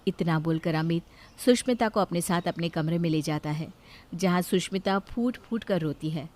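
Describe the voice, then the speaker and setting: A woman's voice: fairly low pitch, moderate pace, a little mellow. A woman storyteller reading a scripted narration.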